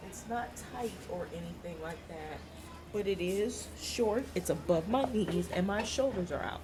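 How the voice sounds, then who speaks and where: An American woman giving casual travel advice to the camera in an informal, emphatic, advisory way. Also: fairly low pitch. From United States. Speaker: travel vlogger. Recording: clear background ambient noise.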